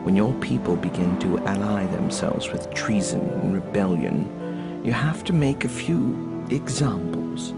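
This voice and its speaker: Man playing an imperious, gravelly, low-voiced lord. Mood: coldly patronizing. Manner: measured, formal, condescending. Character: imperious male lord ruling by fear, coldly condescending. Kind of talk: audio drama acting. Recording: loud music under the voice.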